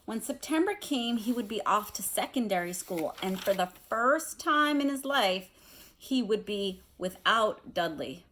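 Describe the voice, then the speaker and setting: A woman speaking as a teacher doing a read-aloud of a children's novel, explaining things in a careful, measured way. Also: medium pitch, expressive, fairly bright tone. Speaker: teacher reading aloud to students.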